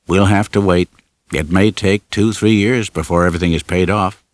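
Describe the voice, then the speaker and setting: A man's voice: sits low, fairly even intonation, moderate pace, no echo, lightly acted. A man voicing an earnest, plain-spoken young store owner in love and weighed down by debt, in an audiobook. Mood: wistful.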